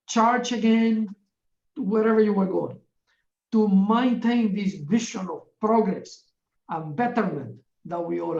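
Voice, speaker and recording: A man's voice: high-pitched, vocal fry, a little mellow. Earnest man, echoey and distant. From Ecuador. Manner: earnest, deliberate, halting with frequent fillers. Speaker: webinar chair, UN division director. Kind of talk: formal opening address. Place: office in a large UN building. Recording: echoey, distant and dull-sounding.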